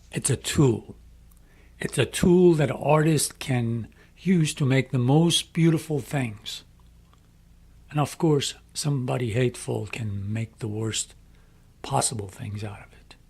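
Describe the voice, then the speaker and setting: A middle-aged man from Iran with an Iranian accent, speaking English as a second language, making a slow, earnest inspirational appeal. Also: medium pitch, vocal fry, darkish tone, slightly rough. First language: Farsi. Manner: slow, deliberate, emphatic phrasing. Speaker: speaker addressing young people.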